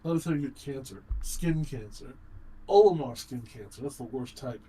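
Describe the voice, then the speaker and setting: A man's voice: expressive, normal speed, dark tone, rough recording. A man bantering casually over a game livestream on an online voice call, swearing and often repeating his phrases. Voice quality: low baritone voice. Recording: voice chat with clear background noise.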